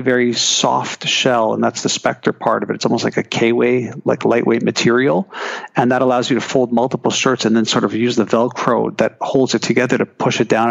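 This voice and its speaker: A man's voice: mid-range voice, vocal fry, highs cut off. A man talking casually as an interview guest, chatty with frequent restarts and fillers. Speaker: guest, keynote speaker.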